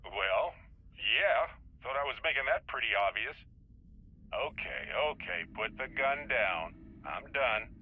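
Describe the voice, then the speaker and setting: A man's voice: medium pitch, lively intonation, measured pace, narrow, tinny audio. An actor playing a laid-back, wisecracking male adventurer held hostage in a video game. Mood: joking.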